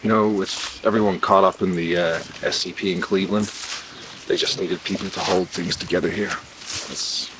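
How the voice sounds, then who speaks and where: A man acting as a laconic veteran operative with a low voice, modest and reticent. His found-footage horror lines come hesitantly over clearly noisy tape. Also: fairly even intonation, moderate pace, close to an everyday voice. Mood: guarded, low-key.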